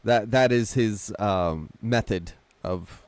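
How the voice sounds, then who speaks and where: A man's voice: lowish voice, slow, over a noisy crowd. A man co-hosting a film podcast in casual discussion.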